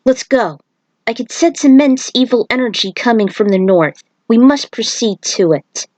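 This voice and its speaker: A woman voicing an earnest male shaman warrior, a patient mentor who explains things in a measured, serious way. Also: on the high side, animated, darkish tone, no echo, pitched above the performer's own voice, natural voice. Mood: grave.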